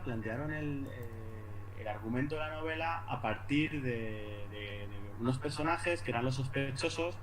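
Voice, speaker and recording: A man with an accent from Spain giving an informal academic presentation, haltingly, repeating words and adding little tag questions. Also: medium pitch. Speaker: university lecturer presenting at an academic conference. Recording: noticeable background noise.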